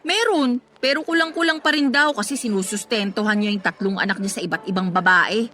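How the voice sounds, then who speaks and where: A woman's voice: medium pitch, fairly quick, sharp tone, close to an everyday voice. An actress playing a feisty young working woman with a Filipino accent, chatty and slangy in a radio drama. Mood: exasperated venting. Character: feisty, exasperated young working woman venting about family. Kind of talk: Tagalog radio drama acting. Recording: music behind the voice. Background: background music.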